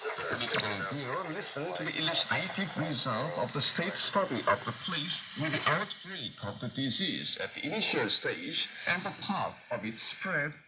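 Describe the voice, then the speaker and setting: A man reading scripted news as a radio announcer in a stiff, formal, official manner, heard over shortwave. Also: mid-range voice. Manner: stiff, official, reading long figures and titles deliberately. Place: radio broadcast studio, received over shortwave. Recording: noisy shortwave radio reception.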